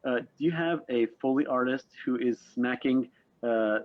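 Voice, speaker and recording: A man's voice: medium pitch, moderate pace, phone-quality sound. A man hosting a panel, interviewing conversationally with hesitant, wordy questions. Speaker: panel host.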